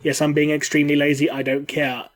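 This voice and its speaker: A man's voice: fairly high pitch, monotone, fast talker. A man hosting a casual podcast, a bit bunged up from a cold, joking and laughing often. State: congested from a cold. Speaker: podcast host. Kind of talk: casual chat.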